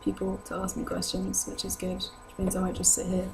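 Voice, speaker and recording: A woman's voice: lowish voice, completely flat delivery, brisk, gravelly. A young British woman with a sore throat chats casually and hesitantly to camera, rambling as she goes, in a vlogger's manner. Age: young adult. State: ill with a sore throat, voice lower than usual. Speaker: vlogger answering viewer questions. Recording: noisy home recording with hum.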